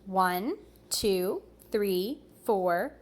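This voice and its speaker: A woman reading picture books aloud to young children in an expressive, deliberate way, with little asides. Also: mid-range voice. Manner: slow, deliberate, expressive, pausing over words for young listeners. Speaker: preschool teacher reading aloud.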